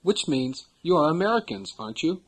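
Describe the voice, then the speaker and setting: A man narrating a language course in a measured, formal instructional manner. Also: a little high, big pitch swings, husky.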